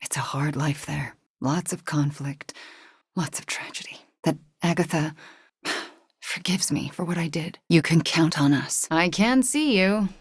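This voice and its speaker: A woman voicing a young sorceress heroine in a video game, guilt-ridden but earnest and wry, with a soft, hesitant, sincere way of talking. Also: sits low, a little slow, husky, no echo, clean studio sound, heavily acted. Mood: somber, hushed.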